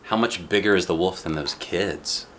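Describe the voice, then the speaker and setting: A man reading a picture book aloud as a dad does, in expressive narration with casual asides. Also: deep voice, moderate pace, slight background noise.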